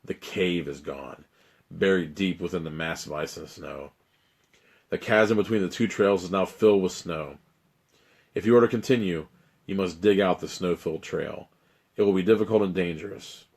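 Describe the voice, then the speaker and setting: Streamer host, male, lowish and raspy; dramatic reading mixed with rambling chat. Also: normal speed, darkish tone. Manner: dramatic narration, then loose rambling chat. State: raspy, strained voice. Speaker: host reading a choose-your-own-adventure book. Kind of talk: live-stream storytelling and chat.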